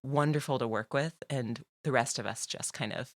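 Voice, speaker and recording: A woman's voice: extremely low pitch, normal speed, dry recording. Chatty laughing woman, dry sound. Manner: chatty, laughs often, many fillers. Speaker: podcast guest. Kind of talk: casual conversational interview.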